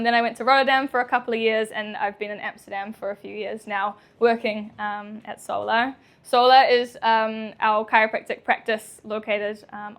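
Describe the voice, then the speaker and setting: A young woman with a New Zealand accent, a chiropractor giving a live talk to an audience, nervous and chatty, laughing often and filling pauses with ums. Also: a little high. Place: indoor venue with audience.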